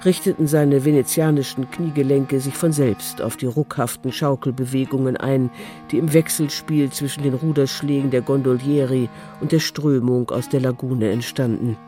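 A man's voice: mid-range voice, fairly even intonation, moderate pace. A man narrating a radio play in scripted, literary prose. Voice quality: dark, mellow. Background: faint music.

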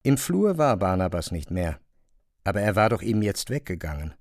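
A man's voice: deep voice, sing-song, brisk, dry recording. A man narrating a classic novel as a scripted literary reading, close to a studio microphone.

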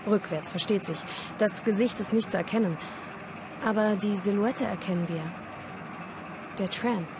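A woman narrating a radio play, reading scripted dramatic prose in measured storytelling phrases. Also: mid-range voice, noisy background.

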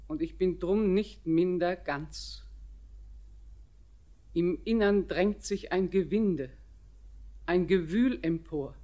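An actress playing an old blind grandmother in a classic radio play, speaking measured verse. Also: fairly low pitch, a little mellow. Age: older. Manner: slow, measured verse speech.

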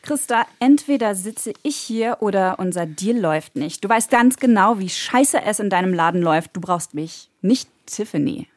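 A woman's voice: mid-range voice, animated, moderate pace, hardly any pauses, dry recording, lightly acted. A woman playing a brash, entitled young influencer in a radio play, slangy and bossy. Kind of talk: radio play table read.

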